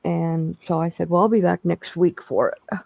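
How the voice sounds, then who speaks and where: A man with a gravelly, fairly high voice talks casually and rambles through his stories. The sound is dry and a little muffled. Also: fairly even intonation, moderate pace, no echo. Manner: rambling, storytelling with restarts. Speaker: bingo stream co-host. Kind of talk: casual chat.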